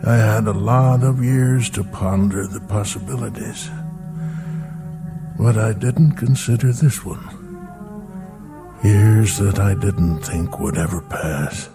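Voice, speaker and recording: Casting: elderly astronaut, very low voice, grave and resigned, vintage TV drama, music behind. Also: male voice, creaky. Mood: sorrowful resignation. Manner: halting, wistful, grave. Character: weary, aged male space pilot, lonely and resigned. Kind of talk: mid-century television drama acting.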